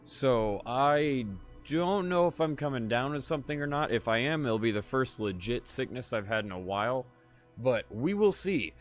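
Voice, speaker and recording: A man live-streaming a game in casual chat, tired and a little raspy, laid-back and swearing freely while talking to viewers. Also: mid-range voice, lively intonation, normal speed, soft background music. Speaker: gaming livestreamer.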